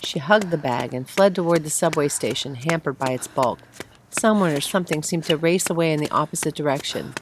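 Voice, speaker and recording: Low-pitched woman narrating a story. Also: fairly quick, poor audio. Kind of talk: scripted short-story narration, read aloud. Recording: faint background noise.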